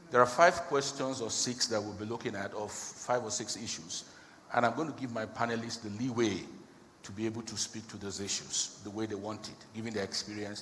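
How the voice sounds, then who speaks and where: A man's voice: fairly low pitch, fairly bright tone. A man moderating a conference panel, speaking firmly and setting out his questions one by one. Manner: firm, deliberate, lists questions one by one. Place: large conference hall. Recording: reverberant hall, faint background noise.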